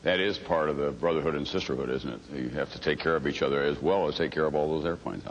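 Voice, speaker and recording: Very deep-voiced, quick-talking male interviewer. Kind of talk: conversational interviewing. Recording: muffled lo-fi audio, faint noise.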